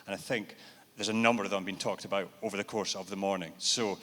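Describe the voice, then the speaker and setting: A man's voice: low voice, fairly even intonation, fast, a bit bright. A man answering questions on a conference panel in a businesslike, explaining way. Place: large event hall. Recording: reverberant hall.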